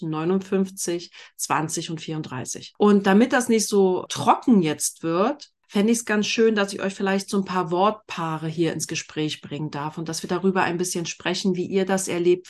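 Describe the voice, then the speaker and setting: A woman leading a group discussion in a slow, deliberate way, her phrases often trailing off softly. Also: medium pitch. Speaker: workshop facilitator.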